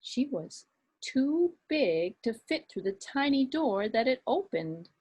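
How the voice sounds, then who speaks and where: A woman's voice: a little high, slightly rough. A woman, a children's librarian, telling a story with puppets for kids. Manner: animated, slow and expressive storytelling.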